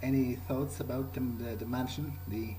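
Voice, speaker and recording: A man improvising an in-character video game playthrough, speaking haltingly as he thinks aloud. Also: medium pitch, steady tone, warm tone, slight background noise, poor audio.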